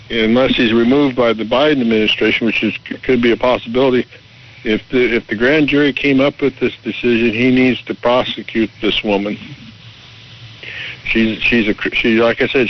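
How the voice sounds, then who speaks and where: An American man phoning a radio show with his opinions, halting and stammering as he repeats his words. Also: medium pitch, slight background noise. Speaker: radio phone-in caller. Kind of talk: political opinion call. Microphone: telephone. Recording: muffled call over shortwave radio.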